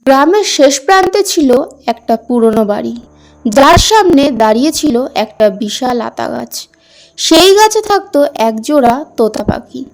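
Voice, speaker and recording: Female narrator for horror fairy tales: high voice, slow scripted delivery, music underneath. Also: very animated. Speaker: story narrator.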